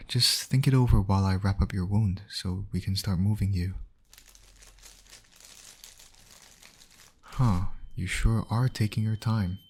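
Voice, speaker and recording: Low-voiced caring male medic, played by a man. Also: darkish tone, a little hiss or hum, close to an everyday voice. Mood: calm, gentle. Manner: reassuring, talking directly to an injured patient. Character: male field medic, calm, caring, urgent under pressure. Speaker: medic in a roleplay audio. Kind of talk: ASMR roleplay voice acting.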